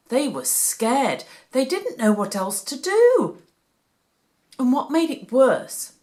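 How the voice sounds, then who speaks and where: A woman reading a Bible story aloud for children, with a deliberate, animated storytelling delivery. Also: medium pitch.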